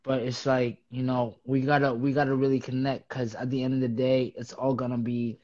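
A man from Uganda who organizes events, chatting casually with relaxed slang and halting restarts, heard over a remote call. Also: medium pitch, dry recording, lo-fi sound. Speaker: guest, music artist and event organizer. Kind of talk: casual chat.

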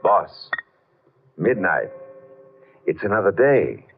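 A man's voice: sits low, very animated, takes it slowly, creaky, heavily acted. A man playing a breezy, slangy detective's assistant in a 1950s radio mystery. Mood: breezy, curious. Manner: casual, slangy. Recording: tinny vintage 1950s radio recording.

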